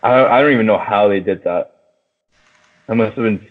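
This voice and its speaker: Low-voiced man on a thin, phone-like line. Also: normal speed. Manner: enthusiastic, heavy casual swearing. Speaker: podcast co-host. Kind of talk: casual movie chat with friends. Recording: thin phone-like, radio-like sound.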